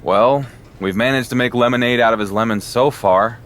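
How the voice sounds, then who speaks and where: A man's voice: medium pitch, moderate pace, fairly bright tone, lightly acted. An actor voicing an earnest male wrestling champion in a video game cutscene, talking in a plain, wary way.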